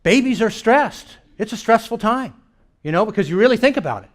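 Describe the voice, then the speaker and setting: A man doing stand-up comedy storytelling to a live audience, animated and acting out reactions and dialogue as he goes. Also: high-pitched, brisk. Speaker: comedian and keynote speaker. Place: church hall in front of a live audience. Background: audience laughter.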